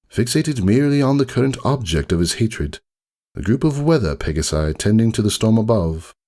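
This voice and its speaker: Man voicing a deep, pompous, melancholy aristocratic unicorn. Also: warm tone. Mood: dramatic. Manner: pompous, wordy, theatrical, ruminative. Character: haughty, melancholy aristocratic male unicorn narrating his story. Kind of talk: dramatic storybook reading, first-person monologue.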